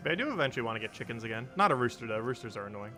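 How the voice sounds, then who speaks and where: A young man with a low voice, chatting casually on a live stream while he games, wandering off on tangents and laughing often. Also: sing-song, racing, noisy background. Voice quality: low-pitched voice.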